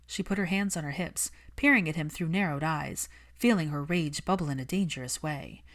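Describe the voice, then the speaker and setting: A woman's voice: low-pitched, normal speed. A woman reading scripted fiction as an audiobook narrator, in a dramatic storytelling style.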